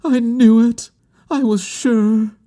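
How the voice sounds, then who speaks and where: A man voicing a grieving, devoted woman in a literary audiobook, pleading and intense in a hushed, drawn-out delivery. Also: high-pitched, fairly even intonation, pitched above the performer's own voice. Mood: exultant anguish. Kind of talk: dramatic literary audiobook character acting.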